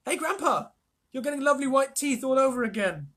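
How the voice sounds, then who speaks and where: A young boy shouts in wonder at what he sees, his voice pushed very high and bright, as a reader puts him on. The tone carries a husky rasp underneath the excitement. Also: fairly quick. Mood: amazed.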